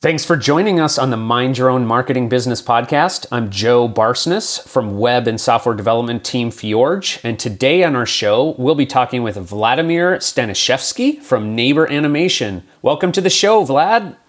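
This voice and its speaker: A man hosts a podcast interview at a mid-range pitch. He sounds friendly and loose, with fillers and small stumbles as he goes. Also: animated, moderate pace, hardly any pauses. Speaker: podcast host. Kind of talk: casual interview hosting.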